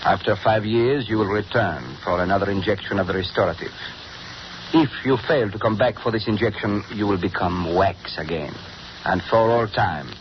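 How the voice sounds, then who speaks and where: A man playing a bitter, cold mad scientist in a 1930s radio drama, measured and formal, on a muffled old broadcast with hiss. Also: lowish voice, lightly acted. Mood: menacing. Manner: measured, coldly formal and menacing. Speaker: villain.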